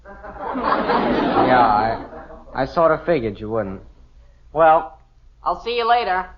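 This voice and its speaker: Boyish american teen son, eager wisecracker, higher voice, muffled old radio comedy. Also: male voice, big pitch swings, slow, over a noisy crowd, very noisy. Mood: sheepish. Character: cheeky, wisecracking teenage son. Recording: muffled vintage radio recording.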